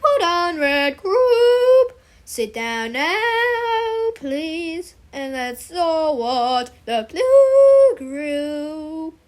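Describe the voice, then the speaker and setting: A high, very slow female drama teacher from a children's storybook acted out by a woman. She gushes in a sing-song lilt, stretching her words theatrically as she coaxes a group of children. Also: sing-song, darkish tone, exaggerated voice. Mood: gushing, soothing. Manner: drawn-out, theatrical delivery.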